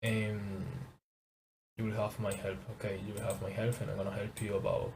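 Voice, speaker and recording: A young man from Venezuela with a Venezuelan Spanish accent, teaching a trading course in halting English as a second language, often repeating words. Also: fairly low pitch, level tone, noisy background. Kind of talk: casual screen-share lesson.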